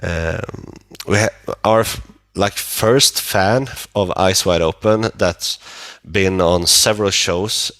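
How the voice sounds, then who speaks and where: Male interview guest, low-pitched and unhurried, feeling his way through each answer. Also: fairly bright tone, no room sound. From Sweden. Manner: halting, searching for words. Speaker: band vocalist, interview guest. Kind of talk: casual interview.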